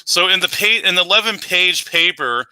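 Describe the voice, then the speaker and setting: Man debater reading citations; very bright, crisp voice, dry close sound. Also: sits high, animated, moderate pace, no room sound, poor audio.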